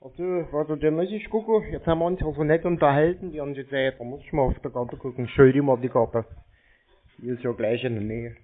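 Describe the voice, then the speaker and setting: A man's voice: medium pitch, fast talker. A man chatting casually on the phone as a naive, rambling, colloquial customer. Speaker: prankster playing a gullible customer to phone scammers. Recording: narrow, tinny phone-call sound.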